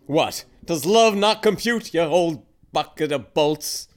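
A woman as a very animated proud tease. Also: low voice, slow pace. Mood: wounded, teasing. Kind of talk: comedic audio drama with singing.

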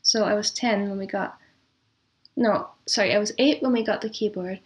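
A woman speaking as an interview guest in casual, reminiscing conversation, with a thoughtful, measured way of talking. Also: medium pitch. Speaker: interview guest, composer and audio drama producer.

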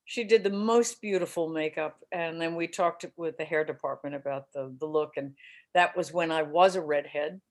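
An older actress answering interview questions in a relaxed, agreeable way, laughing easily. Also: female voice, low-pitched, moderate pace. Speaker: actress guest. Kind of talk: casual interview chat.

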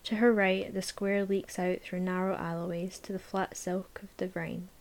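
A woman narrating a novel aloud in a measured, sometimes hushed voice. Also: lowish voice, level tone. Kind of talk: audiobook narration, present tense.

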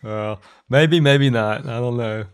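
A man's voice: medium pitch, slow, smooth. A man with a sore throat who is starting to lose his voice, chatting casually and agreeably as a podcast co-host. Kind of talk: casual chat.

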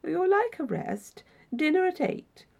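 A woman voicing a courteous, formal older gentleman in an audiobook story, a solicitous and welcoming host. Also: very high voice, big pitch swings, measured pace, creaky, voice pushed up, exaggerated voice. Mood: kindly, solicitous.

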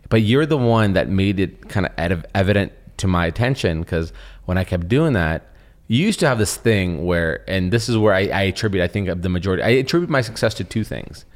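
Young guy, russian first language, lowish voice, rambles on a podcast with lots of likes. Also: expressive, a little fast. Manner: rambling, restarts often, many fillers. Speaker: podcast co-host. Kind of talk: casual chat.

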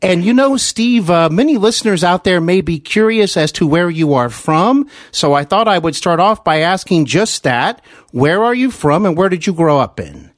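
A man hosting an interview podcast, with deliberate, emphatic announcer-like phrasing. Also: high voice, lively intonation, dry recording, studio quality. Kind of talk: scripted introduction and interview hosting.

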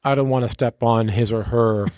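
A man's voice: mid-range voice, steady tone, normal speed, a little mellow, clear, resonant tone, no room sound, clean studio sound. A man who works as a radio personality, chatting casually as an interview guest in a slangy, playful, showman-like and rhetorical way.

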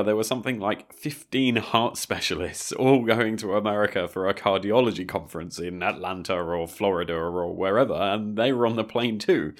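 A man from England, co-hosting a history podcast and telling chatty stories. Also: fairly low pitch. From southern England. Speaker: podcast co-host. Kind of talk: casual chat.

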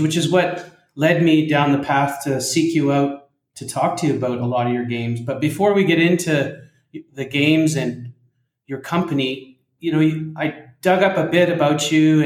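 A man hosting a podcast, introducing his guest and putting questions in an interview style. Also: fairly high pitch. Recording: roomy, echoing sound.

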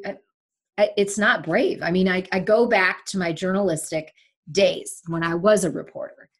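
A woman from Sri Lanka in her forties, a longtime broadcaster, talking candidly and emphatically as a guest in a remote interview. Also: fairly low pitch. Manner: emphatic, candid, animated storyteller. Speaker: broadcaster and podcast host, here the guest. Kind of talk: casual interview chat. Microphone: remote call.

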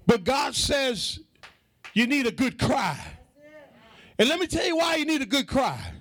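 Fervent high-pitched male preacher. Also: very animated, unhurried. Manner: emphatic, repetitive, call-and-response, rising into shouted, half-sung phrases. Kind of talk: fervent sermon to a congregation.

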